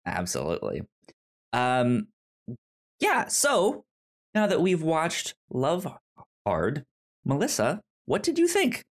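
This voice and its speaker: A podcast host chatting casually about films in a halting, stammering way, often repeating words. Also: medium pitch, wildly swooping pitch. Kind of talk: casual film review chat.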